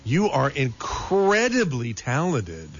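A man's voice: medium pitch, wildly swooping pitch, takes it slowly. A man hosting a radio show who chats casually, rambles and interrupts himself, with a somewhat scratchy voice. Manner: rambling, self-interrupting, chatty. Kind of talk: casual on-air banter. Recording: dull lo-fi radio broadcast, faint music.

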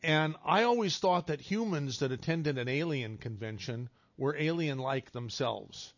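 A man's voice: on the high side, animated, unhurried, dry recording. Rambling talk radio host man. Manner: rambling, jokey, restarts phrases often. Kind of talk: casual call-in radio chat. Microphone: studio microphone. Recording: dull, dry radio studio sound.

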